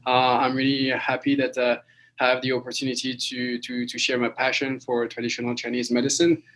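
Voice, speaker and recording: A man's voice: medium pitch, completely flat delivery, a little fast, fairly bright tone, rough recording. A man from France, giving a webinar lecture over a video call, with many fillers and restarts. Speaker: guest lecturer.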